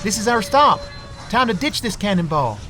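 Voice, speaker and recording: An actor playing a tough, plain-spoken young farm runaway in an audio drama, with a folksy way of talking. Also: male voice, high-pitched, sing-song, moderate pace, pushed and bright, faint music behind, full character voice. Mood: urgent.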